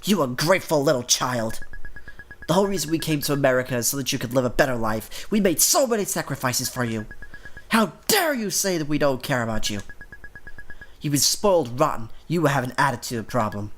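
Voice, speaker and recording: A man's voice: mid-range voice, expressive, brisk, a bit bright, soft background music, close to an everyday voice. A man voicing a strict, suspicious middle-aged mother who lectures and scolds, in a visual novel game. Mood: indignant scolding. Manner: stern, accusing, lecturing.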